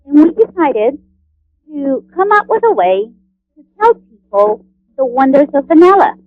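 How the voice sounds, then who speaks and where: A woman from Honduras, a guest on a radio interview, speaking in a friendly, halting way with many fillers. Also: high voice, sing-song, dry recording, dull audio. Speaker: guest, cookbook author.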